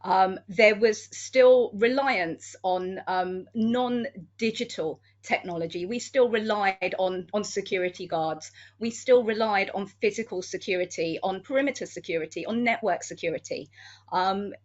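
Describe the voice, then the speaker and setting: A woman's voice: medium pitch, unhurried, lo-fi sound. A woman in her fifties from the United Kingdom, speaking as a security manager on a webinar panel with a wry, conversational manner. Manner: wry, conversational, light humour.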